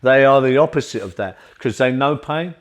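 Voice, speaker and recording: A man's voice: mid-range voice, moderate pace. A man hosting an interview, chatting casually, agreeable and affirming, swearing now and then. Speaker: interview host.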